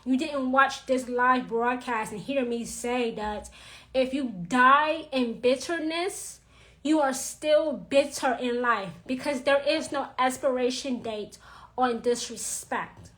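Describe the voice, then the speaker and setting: Female witchcraft teacher, New York accent, raspy edge, blunt, repetitive delivery, high voice, unhurried. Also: fairly bright tone. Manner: blunt, emphatic, repeats her points. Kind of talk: blunt livestream talk.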